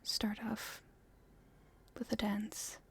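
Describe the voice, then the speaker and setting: A woman playing a playful young princess in love, speaking softly and breathily in an intimate ASMR romance roleplay, with gentle, halting delivery. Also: medium pitch, monotone, full character voice. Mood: soft, confiding. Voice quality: breathy, whispery, soft.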